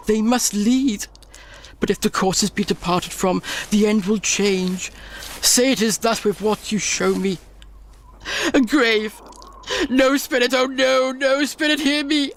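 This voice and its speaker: High-voiced impassioned old miser. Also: male voice, fairly bright tone, slight background noise, exaggerated voice. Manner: impassioned, declamatory, pleading. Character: repentant old male miser, fearful then giddy with joy. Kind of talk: theatrical radio drama acting.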